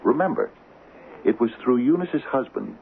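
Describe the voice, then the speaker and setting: A man's voice: medium pitch, expressive, normal speed, natural voice. A man acting in a radio drama as an anxious, brooding middle-aged small-town mayor and husband, with a hesitant, earnest, stammering delivery. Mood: urgent, fearful. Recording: muffled, noisy old radio broadcast, phone-like.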